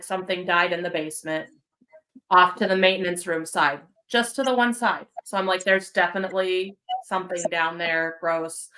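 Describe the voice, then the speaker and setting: A woman, a paranormal investigator, recounting an eerie experience in a relaxed way with many ums. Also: medium pitch, rough recording. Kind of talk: storytelling in casual chat.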